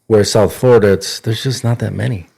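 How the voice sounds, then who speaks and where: A man's voice: on the low side, steady tone, fairly quick, gravelly, darkish tone, breathy edge. A man co-hosting a podcast, teasing and questioning the guest in casual chat. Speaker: co-host.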